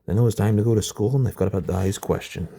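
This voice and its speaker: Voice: deep voice, fairly even intonation, very fast, creaky, dark tone, a little hiss or hum. A storybook narrator reading aloud informally, now and then stumbling over a word and rereading it. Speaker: storybook reader.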